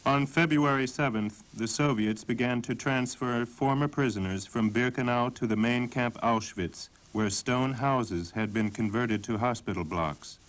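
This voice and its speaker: A man reading scripted documentary narration in a measured, sober way. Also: mid-range voice, poor audio. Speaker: documentary narrator and voice-over translator.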